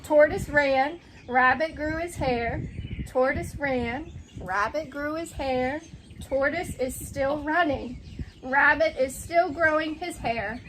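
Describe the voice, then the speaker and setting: A woman with a high voice reads a children's story aloud as a teacher would. She takes her time with each phrase, and some background noise with birdsong sits behind her. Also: slow, fairly bright tone, poor audio. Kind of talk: animated children's story read-aloud with banter.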